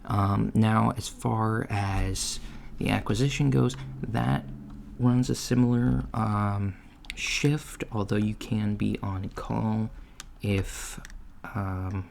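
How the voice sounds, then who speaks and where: Slow talking guy, soft n hesitant, asmr job interviewer roleplay, polite manager type, lofi w some hiss and keyboard typing. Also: lowish voice, creaky. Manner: soft-spoken, halting, hesitant delivery. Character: polite, businesslike alien-store manager interviewing a job applicant. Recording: lo-fi with steady background noise. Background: computer keyboard typing.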